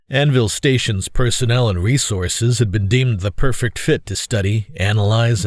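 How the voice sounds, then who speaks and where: A man's voice: on the low side, no echo, clean studio sound. A man narrating a scripted audio drama in a formal storytelling style. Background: music.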